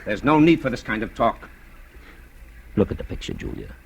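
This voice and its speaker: An actor playing a firm, paternal doctor with an admonishing, measured manner in 1940s radio drama style. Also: male voice, fairly low pitch, huge pitch swings, gravelly, slight background noise, full character voice. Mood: urgent, firm. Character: firm, paternal doctor treating a fearful patient.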